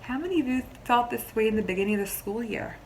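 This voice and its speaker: A woman teacher reading a picture book aloud to young children, expressively, pausing to explain simply and ask questions. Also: on the high side, slight background noise, rough recording. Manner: expressive storybook delivery, explains simply, pauses to question listeners.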